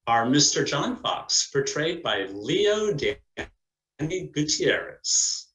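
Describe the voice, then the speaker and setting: A man's voice: fairly high pitch, big pitch swings, a bit bright. Formal male narrator in an echoey room. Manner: formal, measured announcing. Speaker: narrator and presenter of a community play. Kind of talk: scripted narration and cast introductions.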